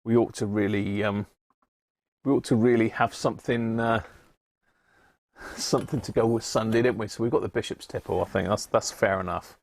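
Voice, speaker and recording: A man with an English accent and a gravelly voice, hosting a hobby electronics live stream in a chatty, rambling way, thinking aloud as he works. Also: fairly low pitch, fairly even intonation, moderate pace. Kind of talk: casual live-stream chat while working at a bench.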